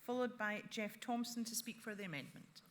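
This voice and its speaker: A woman chairing a conference session, announcing speakers briskly and formally. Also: on the high side. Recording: loud crowd and noise in hall.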